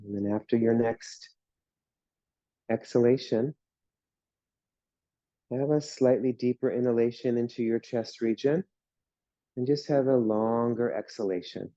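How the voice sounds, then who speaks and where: A man guiding a yoga class through slow breathing and relaxation, speaking in calm, soothing instructions. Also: medium pitch, dry recording, lo-fi sound. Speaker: yoga teacher.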